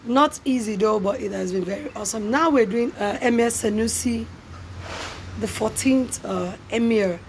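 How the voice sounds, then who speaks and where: A woman's voice: a little high, moderate pace, slight background noise. A Nigerian woman from a theatre production team, talking in an interview. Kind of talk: unhurried interview talk.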